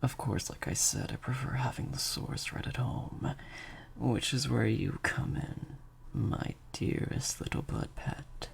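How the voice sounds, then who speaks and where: A man playing a sadistic vampire master in an improvised audio roleplay, menacing and teasing, in breathy half-whispers to a captive listener. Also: medium pitch, steady tone, a little slow, a little hiss or hum. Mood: smug, menacing.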